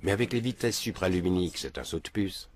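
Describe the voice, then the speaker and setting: A man's voice: sits low, fast talker. A man answering interview questions in a matter-of-fact, assured way.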